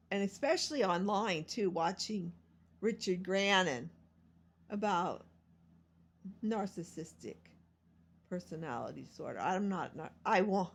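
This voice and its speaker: Gravelly, husky woman in her sixties. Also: on the low side, fairly bright tone. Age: 60s. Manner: confessional, meandering, self-deprecating with frequent restarts. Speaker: vlogger and self-published author. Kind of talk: rambling personal vlog.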